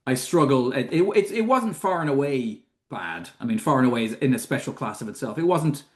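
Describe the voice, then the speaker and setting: A man from Ireland with a mixed Dublin and Wicklow accent softened by years in Canada, chatting casually and self-deprecatingly about films as a podcast co-host. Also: mid-range voice, lively intonation, brisk. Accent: Irish, Dublin and Wicklow mix with Canadian influence.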